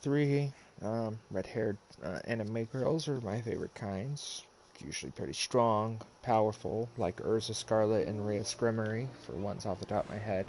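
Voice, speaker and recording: A man doing casual live game stream commentary in a halting, rambling way. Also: on the low side, darkish tone, slight background noise. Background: video game music.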